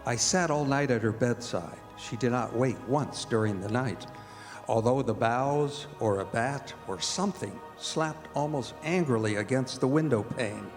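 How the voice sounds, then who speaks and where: A man's voice: medium pitch, unhurried, over music, lightly acted. Man acting an earnest doctor in echoing hall. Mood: earnest, formal. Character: earnest doctor, anxious devoted fiancé, narrating. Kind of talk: radio drama narration and dialogue. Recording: echoey hall, live stage performance.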